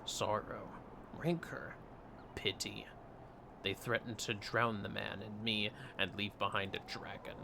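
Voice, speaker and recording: A man's voice: lowish voice, flat delivery, a little slow, gravelly, background noise. A man voicing a solemn, aging retired knight, reading fantasy game dialogue in grave, formal, archaic phrasing. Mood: confessional, grave.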